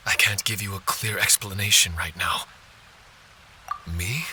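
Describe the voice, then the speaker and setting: Earnest spirit guardian, crisp-bright and low. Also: male voice, steady tone, a little slow, a little hiss or hum, full character voice. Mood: ashamed. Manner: formal, polite, earnest. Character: earnest, apologetic amnesiac male spirit guardian. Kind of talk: video game voice acting.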